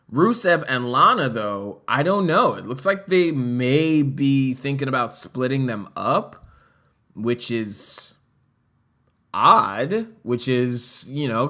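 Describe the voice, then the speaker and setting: A man's voice: mid-range voice, bright, forceful tone. A young man talking casually to his audience about wrestling, rambling with fillers and self-corrections. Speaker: wrestling commentary vlogger. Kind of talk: casual solo commentary.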